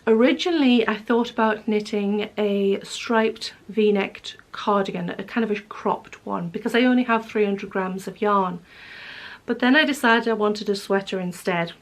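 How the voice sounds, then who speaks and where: Woman, hoarse and croaky, casual rambling knitting vlog to camera. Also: medium pitch, fairly even intonation, measured pace. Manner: chatty, rambling, self-correcting. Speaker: knitting vlogger. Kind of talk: casual chat showing yarns to camera.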